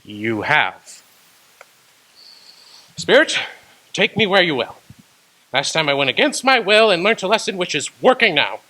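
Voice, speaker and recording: An awed old miser, played by a man in a radio play. His voice leaps up and down with surprise and sounds bright and tense. He keeps asking where he is and who he sees. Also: high voice, big pitch swings, measured pace, long pauses, heavily acted, rough recording. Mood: wondering. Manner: startled, questioning.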